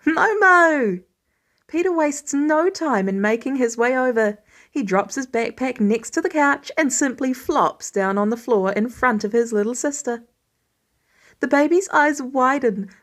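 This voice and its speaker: A woman narrating fiction in an expressive scripted reading style, as an audiobook reader. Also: high voice.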